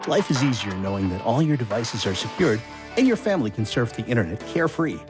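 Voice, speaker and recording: A man delivers a scripted commercial with lively, varied intonation. Guitar music plays clearly behind his announcer read. His pitch sits in the middle for a man. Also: fast. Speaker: advert announcer. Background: guitar music bed.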